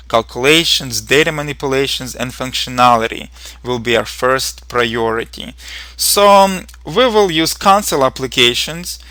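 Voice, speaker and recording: A man's voice: mid-range voice, pushed and bright, no room sound. A young man from Ukraine with a Ukrainian accent, earnestly narrating a programming tutorial with frequent ums and uhs.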